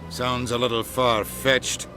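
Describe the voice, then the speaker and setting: A man's voice: medium pitch, little pitch movement, takes it slowly, close to an everyday voice. Human ambassador played by a man, blunt and irritable, mid-range voice, music behind. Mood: sceptical, curt. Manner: blunt, sardonic. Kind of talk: video game voice acting.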